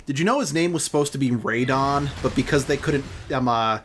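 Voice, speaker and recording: A man's voice: medium pitch, brisk, slight background noise. A man giving casual let's play commentary, explaining trivia and opinions at length. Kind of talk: casual gaming commentary chat.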